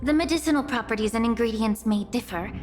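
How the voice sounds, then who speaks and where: A woman's voice: on the high side, fast talker, natural voice. A woman voicing a poised, courteous healer and alchemist in a fantasy game, explaining things formally and precisely. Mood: composed, analytical. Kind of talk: dramatic game dialogue. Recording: background music under the dialogue.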